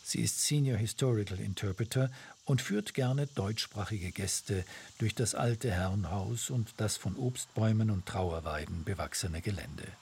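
A man reading scripted documentary narration in an even, measured way. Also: fairly low pitch, slight background noise.

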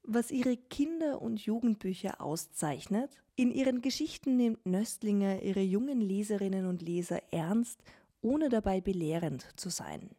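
Warm-toned woman reporter reading a radio feature. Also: mid-range voice. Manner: even, measured reporting. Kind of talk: scripted narration.

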